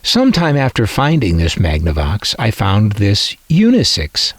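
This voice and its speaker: Low-voiced man with lively intonation. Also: measured pace, slightly rough, no room sound. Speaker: radio collector presenting their collection. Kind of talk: scripted narration.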